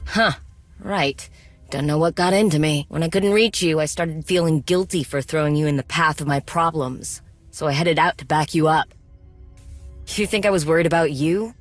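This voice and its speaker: A woman's voice: low-pitched, normal speed, dry recording, faint music behind. A woman voicing a proud, practical, guarded warrior mechanic in a video game cutscene. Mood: guarded concern.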